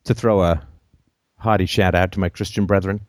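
A middle-aged man from Canada, born in Ireland and raised partly in England, hosting a call-in show in a rambling, joking way with quick asides. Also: sits low, steady tone, a little mellow, no room sound, studio quality. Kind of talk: casual call-in conversation.

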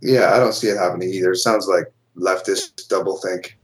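A man's voice: deep voice, steady tone, brisk. Man calling in with brief replies. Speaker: caller. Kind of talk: phone-in conversation.

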